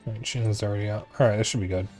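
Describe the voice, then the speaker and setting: A man's voice: fairly low pitch, fairly even intonation, rapid-fire, darkish tone, faint music behind. A man with a somewhat nasal voice, casually commentating a game stream and bantering jokingly with chat in playful mock denials.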